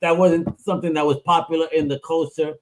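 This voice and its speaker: A man hosting a podcast in a hype, energetic, slangy way. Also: on the high side, a little fast. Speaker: podcast host. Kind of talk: casual chat.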